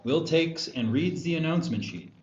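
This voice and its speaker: A man's voice: on the high side, expressive, moderate pace. A man reading stage directions as narrator at a screenplay table read. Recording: dull echoey room, noisy.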